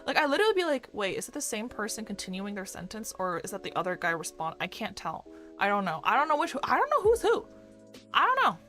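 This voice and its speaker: A young woman who streams and works as a doctor, chatting casually with a fairly deep voice and a rambling, filler-heavy way of talking. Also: very animated, quick pace, bright, forceful tone, soft background music. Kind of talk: casual chat with live chat readers. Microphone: desk microphone.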